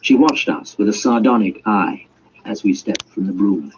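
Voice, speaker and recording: A man narrating a classic story as an audiobook reader, in an expressive scripted style. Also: fairly low pitch, normal speed. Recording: dull audio with faint hiss.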